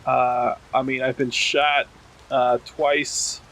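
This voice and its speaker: A man playing a tabletop roleplaying game, talking casually and haltingly as he thinks aloud. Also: medium pitch, flat delivery, a bit bright, low-quality recording. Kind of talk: casual in-character game talk.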